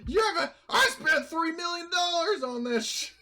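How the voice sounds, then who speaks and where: A man's voice: lowish voice, moderate pace, breathy edge, voice pushed down. A man playing a hot-tempered colony administrator in a tabletop game, blustering, exasperated and profane. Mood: ranting, aggrieved. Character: hot-tempered male colony administrator, raging over lost goods.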